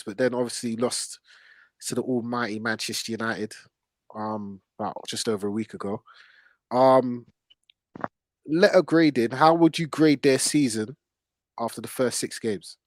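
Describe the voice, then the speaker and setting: A man's voice: mid-range voice, unhurried, no echo. A man hosting a casual football discussion, blunt and opinionated and full of slang. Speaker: football podcast host.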